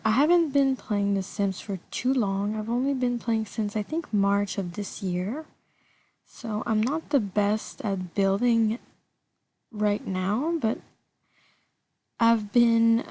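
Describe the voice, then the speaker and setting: Young woman in her 20s with a French Canadian accent, French native speaker. Casual, self-deprecating voice-over on a laptop mic, middle pitch. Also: mellow. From Montreal, Canada. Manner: chatty, self-deprecating, hesitant fillers. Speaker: YouTuber. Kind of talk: casual game build voice-over. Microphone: laptop built-in mic.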